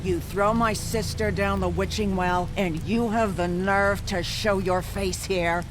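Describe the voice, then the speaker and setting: A hard-edged, bitter woman in a game drama, played by an actress. She talks slowly at a middling pitch with blunt accusation. Music sits clearly behind her. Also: fairly even intonation, natural voice, poor audio. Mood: angry, bitter. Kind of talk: dramatic video game voice acting.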